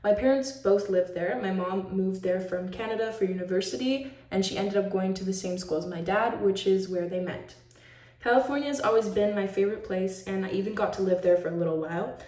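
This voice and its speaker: A woman's voice: medium pitch, brisk, a little mellow. A teenage girl from Switzerland giving an upbeat, chatty show-and-tell presentation of a school project. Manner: chatty and upbeat, talks a lot. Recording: roomy echo, mic at a distance.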